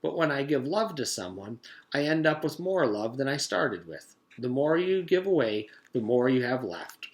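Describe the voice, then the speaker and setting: A man voicing a kind, patient woman schoolteacher with a gentle, polite manner, reading a children's storybook aloud. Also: mid-range voice, big pitch swings, normal speed, pitched above the performer's own voice. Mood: tender.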